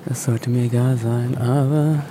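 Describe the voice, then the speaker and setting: A man talking unscripted and reflectively, in halting, broken phrases. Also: mid-range voice, fairly even intonation, background noise.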